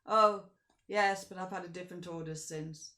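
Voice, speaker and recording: A woman's voice: fairly low pitch, fairly even intonation, normal speed, sharp tone. A woman reading a story voices a male coach driver who answers in a blunt, offhand, stubborn way. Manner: blunt, dismissive.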